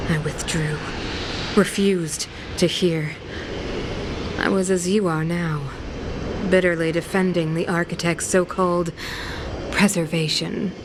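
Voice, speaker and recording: A woman voicing a fanatical, commanding female ruler in a video game, cold and grandiose, with theatrical, deliberate oratory. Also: fairly low pitch, background noise, lightly acted. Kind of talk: dramatic video game voice acting.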